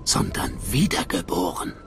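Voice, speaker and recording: A man playing a solemn cult guide in a video game, speaking in a measured, reverent, mystical way. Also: over music, lightly acted. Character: solemn male cult guide welcoming initiates. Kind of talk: dramatic video game voice acting in German.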